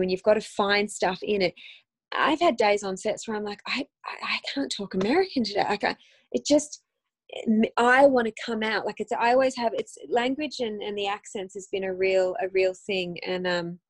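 An Australian woman in her thirties talks casually over a phone video call, rambling with ums and quick restarts. Her voice sits at a middle pitch, and the sound is dry and close with no room echo. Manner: chatty, animated, lots of ums and restarts. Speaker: guest actress. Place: home room, indoors.

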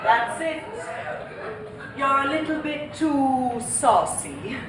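An echoing, noisy theatre recording with an audience. a snooty wife on stage, high and swooping in pitch. She is precise, haughty and cutting. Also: lively intonation, slow. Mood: suspicious, sarcastic. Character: snooty, sharp-tongued wife interrogating the new help. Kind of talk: comedic stage play acting.

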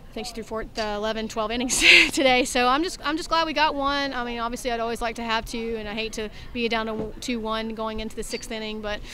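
A woman in her thirties, a softball head coach giving a candid postgame interview outdoors by the field into a handheld mic. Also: on the high side, fairly even intonation, quick pace, few pauses. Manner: candid, talks through her thoughts with restarts and "I think". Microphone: handheld mic held in front of her.

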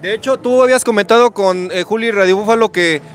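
A man with a central Mexican accent and a notably high voice interviews someone informally outdoors on a city street. His questions come out halting and roundabout. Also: slight background noise. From Texcoco, central Mexico.

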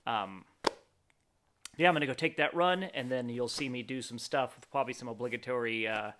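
A man in his forties talking casually and haltingly in a vlog, thinking aloud as he goes. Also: mid-range voice, a bit bright. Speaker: fitness vlogger. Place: wooden garage with the door open.

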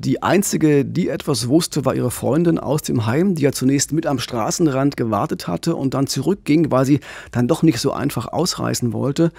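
A man in his forties hosting a true-crime podcast, calmly explaining at a studio microphone. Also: medium pitch, creaky, slightly rough, no echo. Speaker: podcast host. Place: radio studio. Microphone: studio condenser with pop filter.

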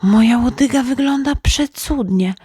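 A woman's voice: on the high side, a little slow, dark tone, husky, no room sound, clean studio sound, voice pushed up. A woman voicing a small, shy male storybook flower with a timid, wondering manner. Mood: amazed, tender.

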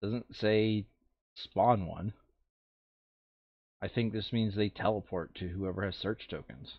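A man with a lowish voice talks casually through a tabletop game, thinking aloud as he counts and works out rules. The audio is a little dull and dry, like voice chat. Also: slow pace, dry recording. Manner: mutters counts and rules to himself. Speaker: board game player.

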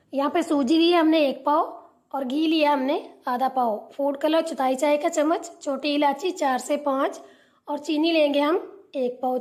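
Woman cooking-channel host, high pitch, warm mellow tone, calm step-by-step tutorial voice-over.

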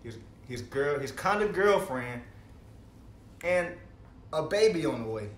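A man's voice: a little high, sing-song, a little slow. A man playing a stressed, skeptical best friend in an amateur comedy table read, slangy, profane and incredulous. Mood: exasperated. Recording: echoey room, faint noise.

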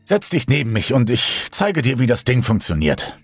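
A man's voice: medium pitch, huge pitch swings, moderate pace, breathy edge, no echo, faint music behind, pitched above the performer's own voice. A man voicing a teasing cannibal killer woman. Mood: boastful. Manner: teasing, coolly condescending.

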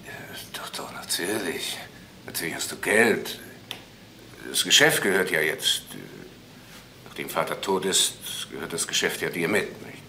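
Crime drama son: deep, sharp-edged voice, impatient, condescending and brusque, on a slightly noisy old recording. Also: male voice, measured pace, exaggerated voice. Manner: patronising, brusque. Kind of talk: naturalistic German crime drama acting.

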